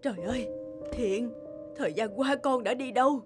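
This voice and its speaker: A woman's voice: a little high, moderate pace, lightly acted. An actress playing an anguished, pleading mother in a cartoon, speaking emotionally. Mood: worried, pleading. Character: anguished, pleading mother of a hot-tempered son. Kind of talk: cartoon dubbing. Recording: clear music under the voice.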